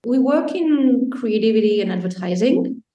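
A woman's voice: fairly high pitch, lively intonation, moderate pace, dark tone, highs cut off. Belgian-born woman chatting as a guest, lots of ums, echoey sound. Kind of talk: casual interview talk. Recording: roomy echo.

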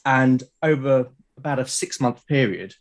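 A man's voice: mid-range voice, unhurried. Middle-aged southern English man, hesitant and reflective. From South London, UK. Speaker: interview guest, artist. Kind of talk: casual conversational storytelling.

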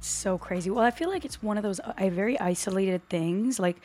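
A woman's voice: lowish voice, darkish tone. Upbeat young woman podcast host. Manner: enthusiastic, upbeat. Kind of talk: casual podcast chat.

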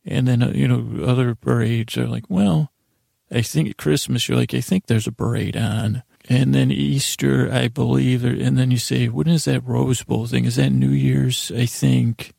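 An American man with a gravelly, raspy voice hosts a bedtime podcast in a rambling, improvised monologue, halting and stammering as he meanders. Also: medium pitch, steady tone, dark tone, no room sound, clean studio sound. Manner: meandering and halting, stammers and trails off mid-sentence. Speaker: host of a bedtime sleep podcast.

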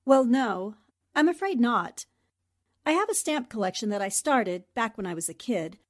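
A woman plays a poised, inquisitive girl reporter in an old-time radio mystery. Her voice is fairly high and very expressive as she probes politely. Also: moderate pace. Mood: politely curious. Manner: polite, probing questions.

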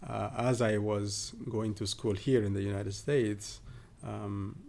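A man from southern Ethiopia, a guest in a podcast interview, talking casually with many pauses and fillers. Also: fairly low pitch, fairly even intonation, vocal fry, a little mellow. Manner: hesitant, many fillers and restarts.

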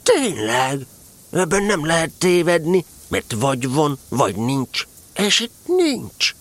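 A man voicing a kindly, simple storybook bear who speaks in an earnest, naive, reassuring way with a high, creaky voice. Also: big pitch swings. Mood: surprised, frank.

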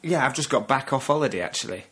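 Casual Lancashire bloke co-hosting a podcast, easygoing mid-pitched voice, quick to laugh. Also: male voice, animated, a bit bright, husky. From Burnley, Lancashire, England. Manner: chatty, laughs often, drops "the". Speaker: podcast co-host. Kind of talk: casual chat. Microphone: video call.

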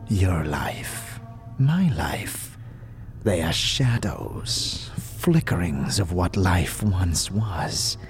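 Ancient male scribe: deep, extremely slow, weary and wheezy, solemn audio-drama sage. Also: lively intonation, soft background music, exaggerated voice. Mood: grave, philosophical. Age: old. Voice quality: breathy, ragged wheeze. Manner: slow, solemn, halting delivery. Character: ancient, weary, cryptic old male undead-realm scribe.

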